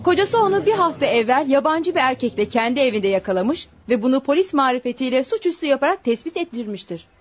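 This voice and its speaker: An actress playing a severe prosecutor, formal, scornful and declamatory, in a radio courtroom drama. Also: female voice, high voice, sing-song, fast, hardly any pauses. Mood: scornful accusation. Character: severe, accusing female prosecutor. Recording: dull lo-fi radio drama recording.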